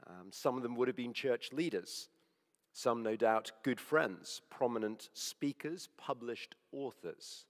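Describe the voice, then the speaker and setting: A man preaching with a hoarse, croaky voice. He sounds earnest and conversational, often correcting himself mid-sentence. His voice carries a long echo, as in a church hall. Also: mid-range voice, a little slow. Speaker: preacher on the church leadership team. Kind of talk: sermon.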